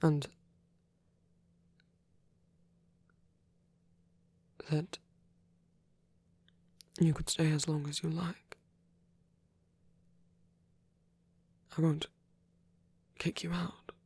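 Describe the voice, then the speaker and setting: A performer playing a gentle, protective male vampire priest in an intimate ASMR roleplay, speaking softly and haltingly, often in a whisper. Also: medium pitch, flat delivery, no room sound. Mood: tender, hesitant. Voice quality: soft, breathy, often whispering. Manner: hesitant, tender, halting pauses.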